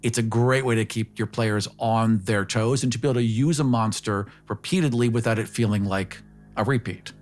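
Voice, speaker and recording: A man's voice: lowish voice, normal speed, a little mellow, faint music behind. A man talking casually as one of the panelists in an informal discussion. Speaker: podcast panelist. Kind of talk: casual chat.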